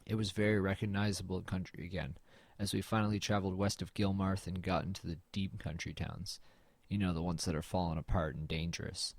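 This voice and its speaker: Guy doing a weary young man in an audio drama, real low voice, brooding and understated, super dry close recording. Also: dry recording. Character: weary, wry young man narrating a bleak road trip. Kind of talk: scripted first-person narration and low-key dialogue in an audio drama.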